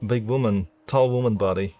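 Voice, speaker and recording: Low-pitched, halting male game streamer. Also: dark tone, dull audio. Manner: hesitant, halting, rambling. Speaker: gaming streamer. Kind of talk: casual playthrough commentary. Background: game music and dialogue.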